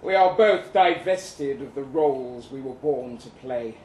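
A man's voice: medium pitch, expressive, a little slow, darkish tone, close to an everyday voice. A bitter, witty crippled young soldier played by a man reading live tells his tale with theatrical, wry flourish. He takes his time over florid phrases. The sound is echoey and off-mic, with light noise. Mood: wry, rueful. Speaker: performer reading a short story aloud. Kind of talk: dramatic live literary reading in character. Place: live event venue in London, indoors. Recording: roomy, distant live recording with noise.

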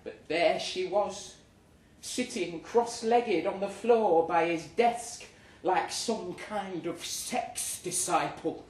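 A man reads a comic story aloud to a live room, slightly high in pitch and unhurried. His delivery is theatrical, sardonic and indignant, and the recording is distant and echoey. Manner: performs the narrator as indignant and sardonic. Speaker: story reader. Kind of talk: dramatic comic short story reading to a live audience. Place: live reading event in a pub. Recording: echoey, noisy pub room, distant mic.

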